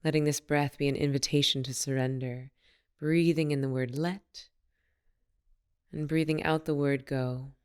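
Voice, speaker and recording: A woman leading a guided meditation as a teacher, speaking in a soothing, unhurried and gently instructive manner. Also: low-pitched, fairly even intonation, smooth.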